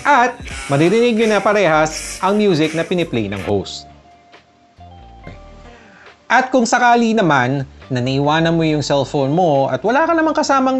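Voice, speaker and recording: A Filipino woman vlogger narrating a casual step-by-step tutorial. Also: low-pitched. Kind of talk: tutorial narration mixing casual and instructional talk.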